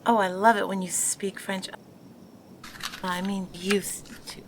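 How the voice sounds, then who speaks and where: A woman's voice: medium pitch, flat delivery, slow pace, very noisy. An actress playing a proper, married 1920s woman who defends herself and scolds her former lover. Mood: defensive. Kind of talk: 1920s period audio drama.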